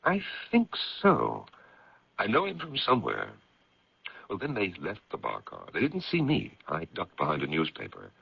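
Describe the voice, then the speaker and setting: An actor playing a protective, indignant gentleman editor in a radio mystery, with a gravelly, husky, emphatic delivery. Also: deep voice, wildly swooping pitch, moderate pace, dry recording, full character voice. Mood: defensive. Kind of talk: radio drama acting, dramatic dialogue and first-person narration. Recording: dull, lo-fi vintage radio recording.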